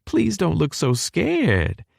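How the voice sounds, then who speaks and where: Cheerful Southern Texan mom, affectionate and fussing, pitched well up, played by a male narrator. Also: wildly swooping pitch, slow pace, creaky, no room sound, clean studio sound, exaggerated voice. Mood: doting. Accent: Southern US (Texas). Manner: affectionate, doting. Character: warm motherly Texan woman, cheerful.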